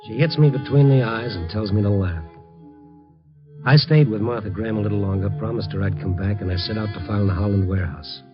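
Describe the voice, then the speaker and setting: An actor with an American accent playing a terse but compassionate investigator who narrates a 1950s radio drama. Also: male voice, medium pitch, lightly acted. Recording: dull lo-fi vintage radio recording.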